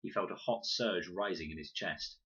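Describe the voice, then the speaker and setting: Male storybook reader: low, bright-edged voice, scripted narration, slightly lo-fi. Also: normal speed, slightly rough. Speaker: audiobook reader.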